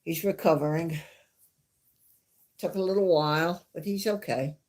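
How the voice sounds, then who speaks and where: A woman with a New York accent hosting a casual live stream, chatting in a rambling way and laughing softly now and then. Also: low voice, measured pace. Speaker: livestream host.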